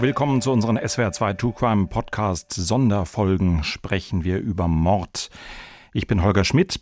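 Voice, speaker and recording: A man's voice: fairly low pitch, a little mellow, no room sound. A man hosting a podcast in a scripted, presenting style. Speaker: podcast host.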